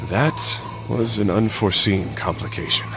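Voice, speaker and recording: A man's voice: fairly low pitch, normal speed, music in the background, natural voice. An actor playing an earnest, stubborn young crusader nobleman in a video game, serious and resolute. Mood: determined. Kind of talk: video game cutscene acting.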